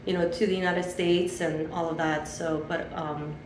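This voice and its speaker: A woman's voice: fairly low pitch, level tone, normal speed, echoey room, noisy background. A woman in her fifties from Chile who grew up in Miami, telling her life story in a rambling, chatty way as an interview guest. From Chile, raised in Miami. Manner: chatty, rambling with many fillers.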